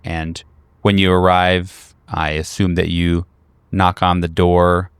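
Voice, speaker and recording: A man narrating a tabletop horror game as game master, in a measured, descriptive storytelling manner. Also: sits low, flat delivery, clean tone, no room sound.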